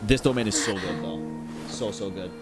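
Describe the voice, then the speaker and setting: A man's voice: low-pitched, moderate pace. A man doing casual, opinionated live stream commentary in a blunt, slangy way, swearing often as he ranks things. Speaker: streamer ranking game quests. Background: video game music.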